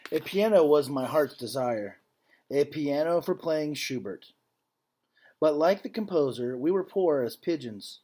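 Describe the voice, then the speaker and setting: A man reading a picture book aloud like a teacher to students, with measured phrasing and casual explanatory asides. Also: on the high side, animated, dark tone.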